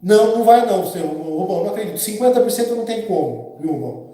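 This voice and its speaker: A man's voice: sits high, expressive, fast talker, warm tone. A man, hosting a casual live stream and chatting with viewers by name in a rambling, opinionated way. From Brazil. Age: 50s. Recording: very echoey room.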